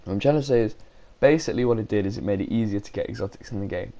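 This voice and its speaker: A man's voice: on the low side, rapid-fire, creaky, warm tone. A man with a sore throat, talking casually about a game in his own video and often correcting himself. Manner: rambling, frequent self-corrections. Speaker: gaming YouTuber.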